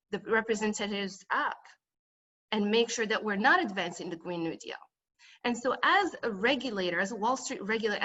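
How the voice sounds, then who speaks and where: Higher-voiced woman candidate, dull audio. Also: a bit bright. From Morocco. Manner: emphatic, repetitive campaign phrasing. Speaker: congressional candidate. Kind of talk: political forum speech.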